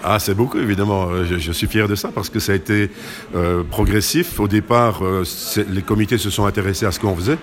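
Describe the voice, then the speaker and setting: A low-pitched man speaks quickly. He answers as an interview guest, explaining point by point in a conversational way, often stopping to correct himself. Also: fairly even intonation. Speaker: carnival committee president, interview guest.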